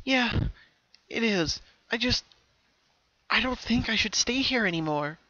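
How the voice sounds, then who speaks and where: An earnest young pony girl, acted by a man for a storybook drama. The voice is pitched high and sounds troubled, with a pleading, hesitant delivery. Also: animated, unhurried, sharp tone, no room sound, highs cut off, full character voice.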